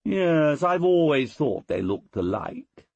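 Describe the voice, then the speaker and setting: A man's voice: a little high, very animated, slow, gravelly, a little mellow, husky, dry recording, highs cut off, voice pushed up, heavily acted. A man voicing a genial, boisterous Roman nobleman and uncle in an audiobook, speaking heartily. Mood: hearty. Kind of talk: audiobook character dialogue.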